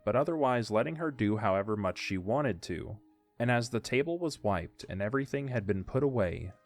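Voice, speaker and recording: A man narrating a scripted audio drama, with music behind his voice. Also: fairly low pitch.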